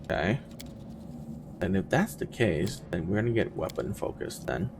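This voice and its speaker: A man's voice: sits low, lively intonation, moderate pace, noisy background. A man giving casual gameplay commentary, thinking aloud in a hesitant, musing way and often trailing off between thoughts. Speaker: video game streamer.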